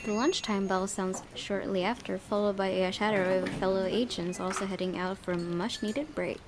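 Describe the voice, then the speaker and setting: A woman with a mid-pitched voice reads story narration aloud as a let's player. She drops in casual asides and sometimes trips on a word before correcting it, with clear background voices behind her. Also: fairly even intonation, normal speed, crowd noise. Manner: stumbles over words and corrects herself.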